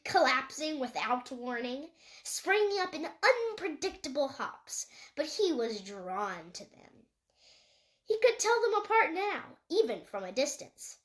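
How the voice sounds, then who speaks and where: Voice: medium pitch, bright, forceful tone. A young reader, a child or young teen, narrating a story read aloud from a book. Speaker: audiobook reader.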